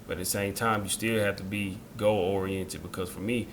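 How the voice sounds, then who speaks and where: A man in his thirties hosting an interview, talking in a relaxed, conversational way that often restarts its phrases. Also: low-pitched, fairly quick, slight background noise. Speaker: interview host. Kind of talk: casual interview talk.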